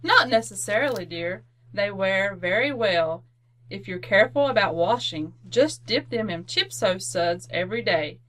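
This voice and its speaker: A helpful aunt, played by an actress in a vintage-style radio advert. Her medium-pitched voice is bright and forward as she gives reassuring instruction. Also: expressive, normal speed, lightly acted, rough recording. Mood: helpful, cheerful. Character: helpful, reassuring aunt selling laundry soap. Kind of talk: old-time radio commercial acting.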